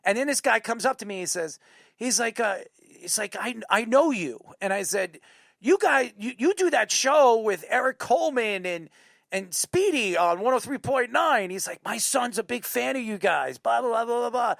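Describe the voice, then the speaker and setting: A man telling stories in casual chat as a talk show host, excitable, repeating himself and swearing now and then. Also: extremely high pitch, moderate pace, vocal fry, dry recording, studio quality. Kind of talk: casual chat, animated storytelling.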